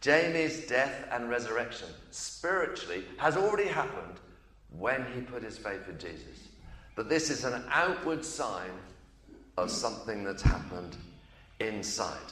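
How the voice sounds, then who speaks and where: A man's voice: mid-range voice, big pitch swings, a little slow, sharp tone. A man preaching at a baptism service as pastor, encouraging the congregation. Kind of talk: sermon at a baptism. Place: church. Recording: echoey church room.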